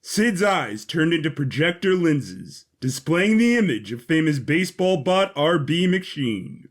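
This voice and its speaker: Higher-pitched man narrating an audio drama. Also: sing-song, a little slow.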